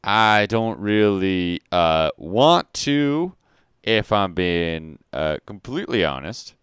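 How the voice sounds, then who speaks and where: A man voicing a sly, smirking fey man who lays low, with a halting, folksy Southern American drawl in a tabletop game. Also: expressive, a bit bright, clean tone, no room sound, studio quality, full character voice. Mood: sly, evasive.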